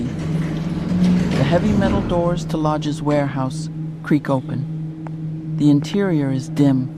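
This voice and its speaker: A man's voice: fairly high pitch, very noisy, natural voice. A man narrating a scripted sci-fi horror audio drama in a serious manner. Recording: loud music bed behind.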